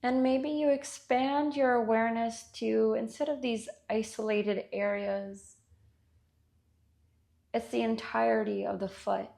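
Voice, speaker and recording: A woman with a fairly high, noticeably creaky voice leads a guided meditation. She speaks very slowly and gently, leaving long pauses between short instructions. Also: fairly even intonation. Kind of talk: guided body scan meditation.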